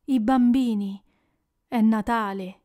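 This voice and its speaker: Woman voicing a meek, gentle poor clerk. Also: a little high, fairly even intonation, takes it slowly. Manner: mild, gentle. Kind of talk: audiobook reading.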